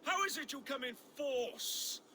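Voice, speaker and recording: A scornful British king in a video game. The voice is very high and intensely sharp, projected in slow, mocking declamation. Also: lively intonation, a little hiss or hum, heavily acted. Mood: defiant. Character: defiant, scornful British king facing invaders from his walls.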